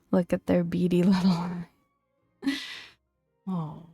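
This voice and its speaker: A woman speaking softly and breathily in a gentle, playful ASMR style, often dropping into a whisper as she reads and comments. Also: lowish voice, level tone, slow, vocal fry, breathy edge, no echo, studio quality, faint music behind. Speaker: gaming video host. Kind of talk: soft-spoken ASMR, reading creature entries with casual commentary.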